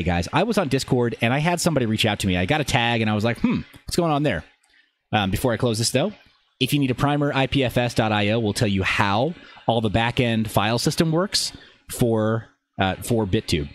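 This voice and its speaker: A man hosts a casual tech livestream in an enthusiastic, conversational manner. His voice sits at an average male pitch. There is clear ambient noise behind him. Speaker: livestream host.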